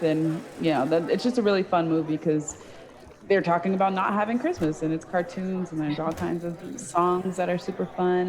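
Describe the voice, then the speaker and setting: A woman chatting casually as a panelist on a group podcast. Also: on the low side. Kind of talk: casual chat. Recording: background voices.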